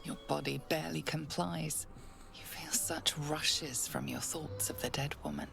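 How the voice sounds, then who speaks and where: A performer voicing a grave, hushed inner narrator in a dark video game, speaking in the second person. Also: medium pitch, steady tone, a little slow. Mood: ominous. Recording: game audio with clear music behind.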